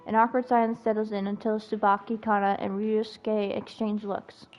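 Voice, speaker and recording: A woman's voice: mid-range voice, little pitch movement, normal speed, a little mellow. A woman streaming a game with casual, chatty, reactive live commentary. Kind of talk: casual live gameplay chat. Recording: dull lo-fi mic, game music behind.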